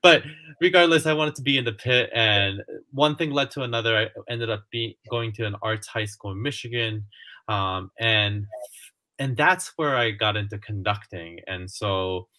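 Man from Japan, thirties, bright and low, chatty with fillers, video-call guest. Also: moderate pace. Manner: chatty, many fillers and restarts. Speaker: music and artistic director. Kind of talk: casual video-call interview. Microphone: headphones on a video call.

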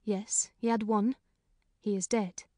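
A man's voice: sits high, little pitch movement, mellow, no echo, clean studio sound, pitched above the performer's own voice. A man voicing a talkative middle-aged female housekeeper in a plain, frank way in a storybook reading. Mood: chatty. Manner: brisk, talkative, plain-spoken. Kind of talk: audiobook character voice.

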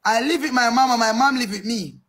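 A very high, squealing applicant, put on by a man, full of boasts in broken English. He wails and protests when pressed, his voice cracking upward. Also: brisk, sharp tone. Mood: indignant. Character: excitable male visa applicant, boastful.

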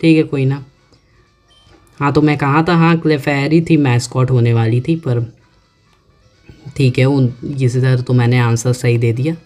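A man giving casual let's-play commentary, chatting to himself and reading on-screen text aloud slowly. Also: mid-range voice, fairly even intonation, a little mellow. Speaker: gaming YouTuber. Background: faint game music.

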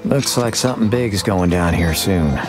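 A man's voice: deep voice, expressive, normal speed, over music. A man playing a stoic, stubborn cowboy gunslinger in a video game, terse and matter-of-fact. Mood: businesslike.

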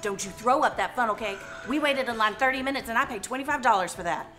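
Woman playing a bossy fairgoer, bright forceful voice, somewhat high, scolding, faint music. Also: fast talker. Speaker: comedy sketch performer. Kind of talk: scripted comedy sketch.

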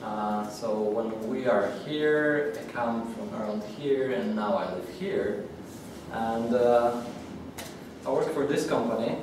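Polish man talking in an echoey room. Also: medium pitch. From Poland. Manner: halting, many fillers and restarts. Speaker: conference speaker. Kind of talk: technical talk at a user group. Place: meeting room with audience. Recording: echoey, distant room mic with noise.

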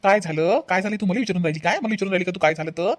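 Woman voicing fast-talking, hot-tempered cartoon husband. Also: on the low side, soft background music, lightly acted. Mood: angry, accusing. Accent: Vidarbha Marathi. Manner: accusatory, repetitive, melodramatic. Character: hot-tempered husband devoted to his sister-in-law. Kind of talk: animated family comedy acting.

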